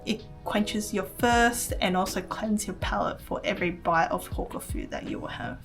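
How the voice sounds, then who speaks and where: A woman's voice: medium pitch, normal speed, gravelly, music in the background. A young woman with an American accent, reading a scripted travel vlog voice-over and recommending meals one by one. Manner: earnest, recommending, reads list items. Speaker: travel vlogger.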